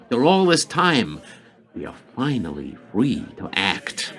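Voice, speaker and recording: A man plays a hawkish senior government aide in a radio drama. He is deliberate and calculating, with commanding authority and pitch that moves widely over a mid-range voice. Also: huge pitch swings, fairly bright tone. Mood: grim, resolute. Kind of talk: radio play acting.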